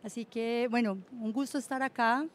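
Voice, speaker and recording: A woman's voice: on the high side, fairly even intonation, normal speed. Fluent woman speaking on a panel. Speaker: panelist from an international agency. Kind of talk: conference panel talk. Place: conference panel.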